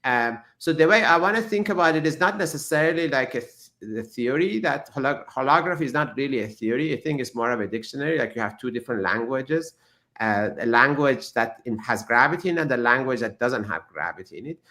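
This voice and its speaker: A man with a bright, forward voice talks through physics ideas as an interview guest. His first language is Persian, and he speaks in a thoughtful, halting way, restarting phrases and filling pauses with "um" and "uh". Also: mid-range voice, animated. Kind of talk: explanatory interview talk.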